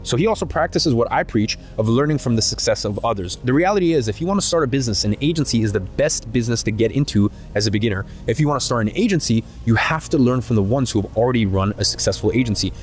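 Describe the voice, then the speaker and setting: A man delivers a scripted sales pitch at a quick clip. He is emphatic and stacks benefits one after another. Faint music sits beneath his voice. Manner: fast, emphatic, listing benefits. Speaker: course creator and agency owner.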